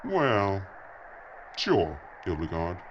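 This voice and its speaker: A man playing a condescending, mock-polite villain with calm menace in an audio drama. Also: low-pitched, very slow, heavily acted. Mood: coldly mocking. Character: cold, mocking male villain, calmly menacing. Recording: dull lo-fi audio, faint noise.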